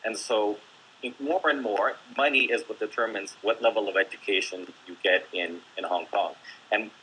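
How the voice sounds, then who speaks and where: A man's voice: fairly low pitch, normal speed, sharp tone, poor audio. Man, teacher, panel guest, earnest, faint background noise. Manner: earnest, explanatory, with many fillers. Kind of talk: discussion panel talk.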